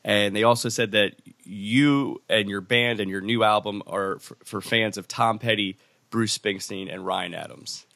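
Brief: male podcast host, chatty, hesitant, low voice, dry close sound. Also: fairly even intonation, unhurried, gravelly, pushed and bright, no echo. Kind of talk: casual interview chat.

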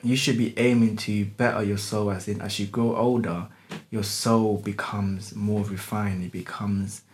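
A man's voice: on the low side, level tone, unhurried, faint music behind. A young man, talking candidly in a casual podcast chat, swearing and using street slang.

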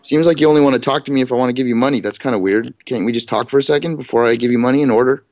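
A man's voice: medium pitch, very fast, a little mellow, dry recording. Chatty man making a casual prank call. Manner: chatty, faux-friendly, rambling. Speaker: prank caller.

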